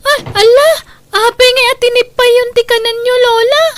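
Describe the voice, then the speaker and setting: A woman's voice: very high voice, fairly even intonation, normal speed, fairly bright tone, dry recording. A woman playing an eager, affectionate young grandchild in a radio drama. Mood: eager, insistent.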